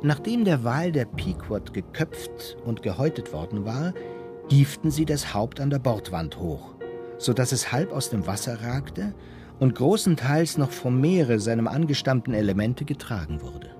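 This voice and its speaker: An actor playing a solemn, grandiose narrator of a whaling tale in a radio drama. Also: male voice, mid-range voice, sing-song, moderate pace, music in the background, natural voice.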